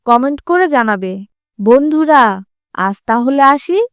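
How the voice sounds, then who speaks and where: A woman's voice: sits high, expressive, slow, clear, resonant tone, dry recording, studio quality. A woman narrating a scripted children's fable as a storyteller.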